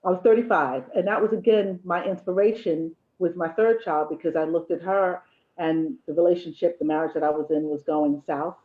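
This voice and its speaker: A woman's voice: lowish voice, a little fast. Woman in her fifties on a tinny line. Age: 50s. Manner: candid, jokey, reflective. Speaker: guest, host's mother. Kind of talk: casual chat.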